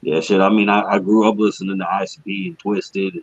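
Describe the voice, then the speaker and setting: A raspy male musician answers interview questions in a laid-back way. His voice is very deep and husky. The sound is dry and a little dull. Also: very animated, fairly quick, no echo. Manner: laid-back, says "you know what I mean" a lot. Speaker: musician guest.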